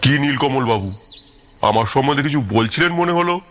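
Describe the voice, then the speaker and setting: A man playing a confident, commanding detective in an audio story, speaking in a measured, wry way. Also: mid-range voice, lively intonation, fairly bright tone, slight background noise, lightly acted. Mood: wry, assured. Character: calm, confident, commanding male Bengali detective. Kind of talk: audio story character acting.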